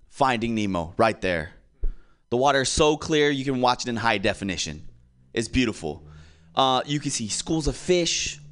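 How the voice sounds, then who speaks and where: A man's voice: medium pitch, clean tone. A young man from Hawaii performing a casual live stand-up comedy set. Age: young adult.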